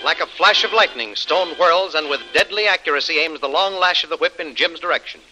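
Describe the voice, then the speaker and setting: A man's voice: fairly high pitch, a little fast, pushed and bright, faint music behind, rough recording. A man narrating an old-time radio adventure serial in a dramatic storytelling style.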